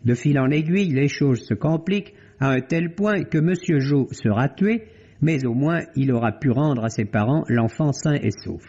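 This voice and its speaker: A man's voice: medium pitch, normal speed, dark tone, husky. A man reading a scripted review as a critic on an old French radio broadcast, articulate and emphatic, with phrases that rise and fall. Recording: dull lo-fi vintage radio sound.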